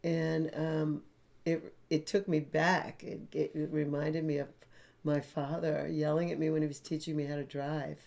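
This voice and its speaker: A woman's voice: sits low, little pitch movement, normal speed, vocal fry, darkish tone. A woman co-hosting a relationship talk show who states her feelings and requests plainly and directly. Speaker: co-host. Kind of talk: live relationship talk show, role-play of a conflict repair.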